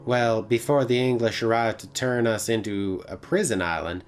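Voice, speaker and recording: A man's voice: medium pitch, steady tone, normal speed, faint music behind, natural voice. A man voicing a brash, reckless woman who drives a taxi in a visual novel, offhand and slangy. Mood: matter-of-fact. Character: brash, reckless, thrill-seeking female Australian taxi driver. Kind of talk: character voice in a visual novel read-aloud.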